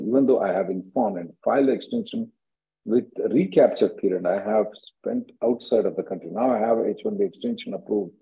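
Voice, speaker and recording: A man, hosting a call-in as an attorney, briskly answering questions and repeating himself. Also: lowish voice. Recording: dull lo-fi conference call audio.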